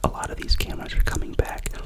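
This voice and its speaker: A young man with a cough whispering a rambling, chatty ASMR unboxing as the channel's host. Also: fast. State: sick with a cough.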